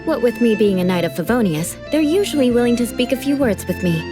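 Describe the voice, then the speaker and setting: A woman's voice: a little high, animated, fast talker, over music, natural voice. An actress playing a proud young female knight of an aristocratic clan, who speaks in a formal, aristocratic manner. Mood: composed.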